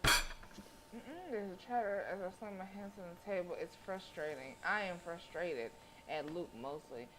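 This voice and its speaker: A woman's voice: on the low side, measured pace, very noisy. A woman streaming a game, with sassy, slangy casual commentary and reading aloud.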